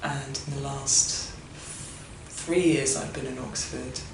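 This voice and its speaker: A woman's voice: rumbling low, steady tone, slow, vocal fry. A woman in her thirties, talking in a chatty, reflective way and laughing at herself. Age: 30s. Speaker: interviewee. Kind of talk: personal documentary interview. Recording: echoey room, distant mic, noise.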